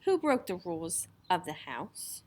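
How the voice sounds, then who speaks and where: Storybook monster rug read by a woman, male, menacing but gullible, theatrical, very animated. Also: fairly low pitch, unhurried. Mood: hungry menace.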